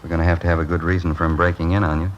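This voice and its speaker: An actor playing a businesslike police captain in a 1950s radio drama, giving brisk, matter-of-fact orders. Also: male voice, low-pitched, level tone, highs cut off, slight background noise, lightly acted.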